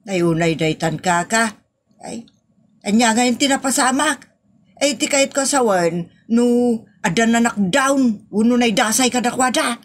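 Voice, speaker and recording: An actress plays an inquisitive village woman in a comedy audio drama. Her voice sits on the high side and has an Ilocano accent. She exclaims and pries, eager for every bit of gossip. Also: a little slow. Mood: shocked, curious. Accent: Ilocano, northern Philippines. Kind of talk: comedy radio drama dialogue.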